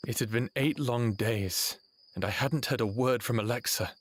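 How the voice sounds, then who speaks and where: A man playing a battle-scarred, lovesick human with a husky voice, wry and brooding, in an audio drama. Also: mid-range voice, moderate pace, lightly acted. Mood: longing. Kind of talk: first-person audio drama narration and dialogue.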